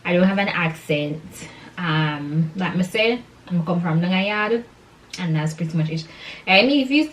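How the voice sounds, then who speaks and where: A woman's voice: medium pitch, slight background noise. A young adult woman from Jamaica chatting casually and motivationally to her viewers, emphatic and repeating key phrases for stress. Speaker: vlogger addressing subscribers. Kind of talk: casual motivational chat to camera. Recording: distant microphone.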